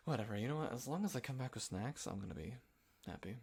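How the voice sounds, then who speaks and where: A man acting an easygoing, playful young guy in a casual roleplay audio, talking in a halting, chuckling, naturalistic way with a friend. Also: medium pitch, lively intonation, creaky, a little mellow, husky. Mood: relaxed, mildly resigned. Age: young adult.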